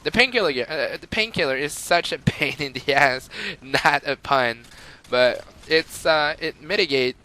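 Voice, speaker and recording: A man's voice: fairly high pitch, unhurried, few pauses, bright, forceful tone, poor audio. A young man with a Quebec French accent, rambling through casual gameplay commentary with lots of fillers. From Montreal, Canada. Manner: rambling and chatty, with frequent fillers and laughs. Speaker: gamer.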